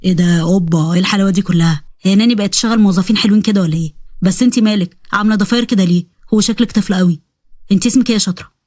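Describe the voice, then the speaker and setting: A woman's voice: medium pitch, very fast, darkish tone, dry recording, clean studio sound, natural voice. A woman with an Egyptian accent voicing a brash, leering young man who talks in a mocking, arrogant way.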